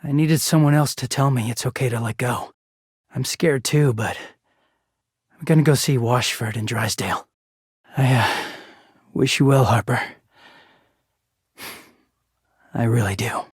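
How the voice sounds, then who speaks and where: A man voicing a wounded, conflicted living garment in a video game, husky and emotional, confiding with some swearing. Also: mid-range voice, normal speed, dry recording, clean studio sound. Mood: resigned, tender.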